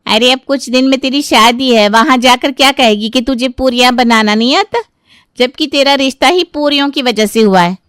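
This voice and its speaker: A woman's voice: sits high, moderate pace, fairly bright tone, clear, resonant tone, no room sound, studio quality. A woman playing a fretful, chatty middle-aged auntie in a cartoon story. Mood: concerned.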